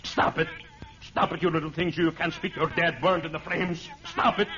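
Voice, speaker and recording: An actor playing a guilt-crazed, haunted murderer at sea in a 1940s radio horror play, raving in short repeated bursts. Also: male voice, a little high, steady tone, moderate pace, sharp tone. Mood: gloating, manic. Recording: noisy muffled 1940s radio recording.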